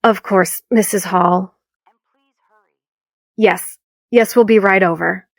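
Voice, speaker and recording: An actress playing a serious, weary small-town sheriff in an audio drama, measured and authoritative. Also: female voice, medium pitch, fairly even intonation, vocal fry, no echo, clean studio sound. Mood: firm. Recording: faint vehicle ambience noise.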